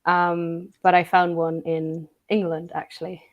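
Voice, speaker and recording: A young woman with a raspy voice, hoarse from a cold, chatting as a guest on an online stream. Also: fairly low pitch, flat delivery, measured pace, dull audio. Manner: laughs between phrases, self-deprecating. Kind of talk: casual online chat.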